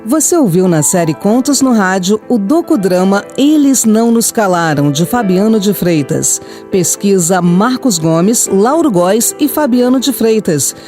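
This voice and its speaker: A woman's voice: mid-range voice, animated, measured pace, few pauses, warm tone. A woman announcer with a Brazilian accent reading scripted programme credits. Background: faint music.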